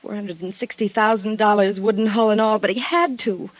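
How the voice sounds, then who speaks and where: An actress playing an anxious, earnest young secretary, breathless and melodramatic, in a 1950s radio drama. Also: female voice, medium pitch, fairly even intonation, fairly quick, no echo, highs cut off, natural voice. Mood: tense, earnest. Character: frightened, earnest young female secretary seeking protection.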